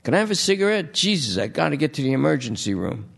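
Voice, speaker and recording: A man's voice: a little high, big pitch swings, quick pace, vocal fry, a bit bright, voice pushed up, heavily acted. A man doing Lester, a whiny, jittery junkie. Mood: wheedling. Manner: rambling, pleading, whiny. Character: jittery, wheedling male junkie under arrest.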